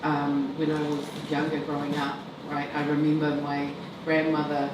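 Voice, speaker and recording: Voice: medium pitch, monotone, normal speed. A filmmaker of Tongan heritage speaking reflectively and loosely on a panel. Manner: reflective, loose, laughs while talking. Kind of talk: panel discussion answer. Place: event hall. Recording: echoey hall, distant mic, noise.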